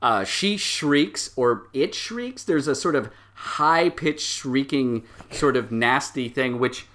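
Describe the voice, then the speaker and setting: A man narrates an improvised tabletop game as its game master. His voice is mid-pitched, bright and forceful, and he builds scenes vividly in halting pieces with many pauses. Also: lively intonation.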